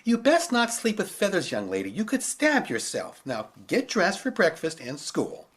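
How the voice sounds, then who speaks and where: A stern older woman scolds a girl slowly and primly, as a man puts her on. The voice is pitched up and lilts with a lively range. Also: full character voice. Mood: scolding. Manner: scolding, slow and prim.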